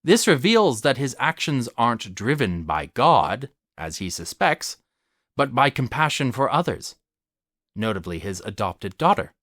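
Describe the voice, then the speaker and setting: A man's voice: mid-range voice, very animated, measured pace, a bit bright, no echo, studio quality. A man narrating a scripted essay in an articulate, formal, expository manner.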